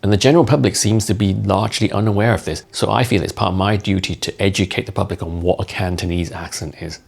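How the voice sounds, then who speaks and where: Deep, gravelly man with blunt opinions. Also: steady tone, fast talker, darkish tone. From Hong Kong. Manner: blunt, mocking, instructive. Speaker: content creator. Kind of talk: opinionated explainer talk to camera.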